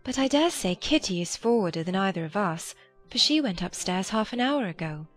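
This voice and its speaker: A woman voicing a gentle, modest young woman, polite and earnest, in a dramatized classic-novel audiobook. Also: medium pitch, animated, a little fast, no room sound, soft background music, natural voice. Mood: composed.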